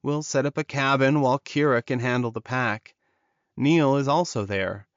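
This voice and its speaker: A man's voice: medium pitch, moderate pace, no echo, highs cut off, close to an everyday voice. Man voicing an earnest, lovelorn male werewolf. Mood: reassuring. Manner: earnest, urging. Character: lovelorn, encouraging male werewolf urging his beloved onward. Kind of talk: audiobook character dialogue.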